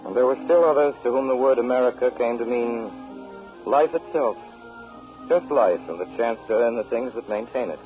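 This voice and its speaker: A man's voice: mid-range voice, moderate pace, soft background music, natural voice. Solemn actor as male narrator, old muffled radio. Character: solemn narrator of an immigrant story. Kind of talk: 1930s radio scripted narration. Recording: muffled 1930s radio broadcast.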